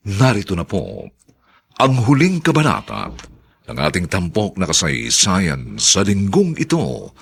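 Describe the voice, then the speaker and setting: Filipino man, low voice, very slow, widely swinging intonation, solemn radio drama announcer. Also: gravelly, a bit bright. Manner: dramatic, drawn-out delivery. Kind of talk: solemn, poetic scripted radio drama narration.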